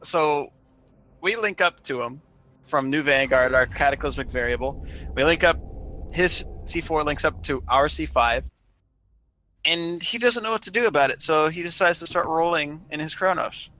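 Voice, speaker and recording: A man casually telling gaming stories in an animated, emphatic way, swearing freely. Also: on the high side, normal speed, slight background noise, rough recording. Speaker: online gaming group member telling stories.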